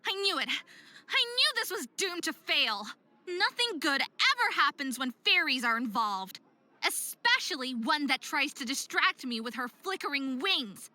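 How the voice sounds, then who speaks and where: A woman's voice: sits high, very animated, a little slow, sharp tone, no echo, full character voice. A young woman voicing a hot-headed, distraught young faerie skater in a visual novel game, with a dramatic, emphatic delivery. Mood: indignant. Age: young adult.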